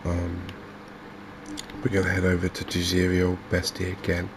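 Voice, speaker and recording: A man with an English accent giving soft-spoken, hesitant, unhurried gameplay commentary in an ASMR style. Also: deep voice. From England. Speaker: gaming video host. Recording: clear steady background noise.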